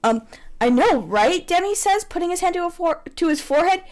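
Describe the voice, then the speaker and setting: A woman's voice: very high voice, lively intonation, brisk, heavy background noise. A woman reading fan fiction aloud with playful banter, theatrical, bossy and giggly, on a poor amateur microphone. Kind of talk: playful read-aloud mixed with casual banter.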